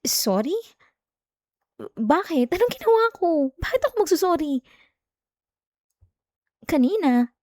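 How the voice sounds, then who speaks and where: Casting: woman as young jealous girlfriend, higher mellow voice, coaxing and whiny, intimate ASMR roleplay, close dry sound. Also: sing-song, slow, long pauses, no echo, exaggerated voice. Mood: defensive, jealous. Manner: coaxing, whiny, with sighs and hesitations. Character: clingy, jealous young girlfriend, pleading and pouting. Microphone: close, dry microphone.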